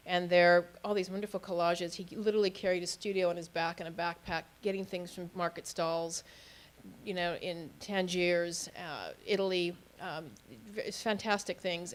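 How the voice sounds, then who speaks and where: A woman telling anecdotes informally as a panelist at a talk. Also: fairly low pitch. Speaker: panelist, auction house specialist.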